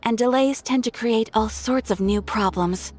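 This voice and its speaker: A woman's voice: on the high side, normal speed, darkish tone, close to an everyday voice. A woman playing a polite, formal guild receptionist. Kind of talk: video game voice acting.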